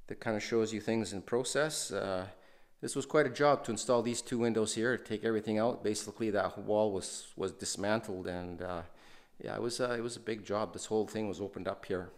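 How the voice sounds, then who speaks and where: A man with a fairly low voice gives a casual, unhurried tour of a house being renovated. He rambles, restarting sentences and filling pauses with "uh." He sounds like he's recorded on the camera's own mic inside the empty house. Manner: relaxed, rambling, many fillers and restarts. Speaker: renovation video host. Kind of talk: casual walkthrough narration.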